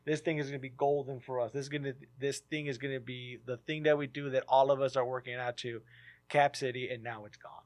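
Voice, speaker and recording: A man co-hosting a podcast in casual chat, animated, swearing freely and sinking into low, heavy tones. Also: fairly quick. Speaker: podcast co-host.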